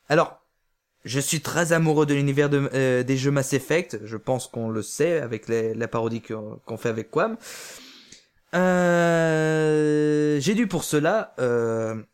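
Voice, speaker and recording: A man with a slightly higher voice talks through a review of an amateur audio drama. He hesitates often, filling gaps and restarting his sentences as he goes. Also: darkish tone. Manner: hesitant, many fillers and restarts. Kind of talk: casual spoken review.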